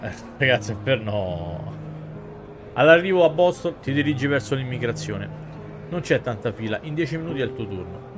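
Male game streamer, thirties, theatrical narrative reading, mid pitch, clear musical backing. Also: lively intonation, normal speed, over music. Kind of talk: dramatic reading aloud of a visual novel with asides to chat.

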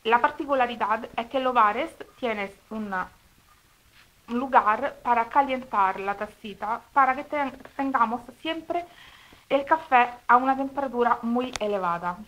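An Italian woman with an Italian accent speaking Spanish as a second language, demonstrating a recipe step by step at home in a careful, slightly halting way. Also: on the high side, fairly bright tone, low-quality recording. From Italy. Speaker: cooking video host. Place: home kitchen table.

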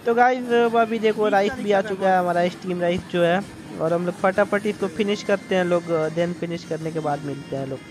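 High-voiced young man vlogging eagerly. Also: moderate pace, music in the background, rough recording. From India. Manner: chatty, enthusiastic, keeps addressing viewers. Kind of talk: casual vlog narration. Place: outdoor restaurant terrace at night.

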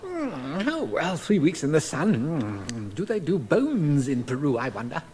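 A wry, lazy little talking dog muttering in a high, very animated voice for a radio adventure. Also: male voice, unhurried, faint music behind. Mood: wry grumbling. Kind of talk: radio drama acting.

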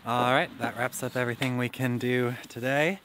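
A man's voice: medium pitch, steady tone, moderate pace, noisy background. A young man chatting casually on a vlog and plainly laying out the day's plans. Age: young adult. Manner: easygoing, plainly explains the plan. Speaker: vlogger. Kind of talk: casual chat. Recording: music playing under the voice.

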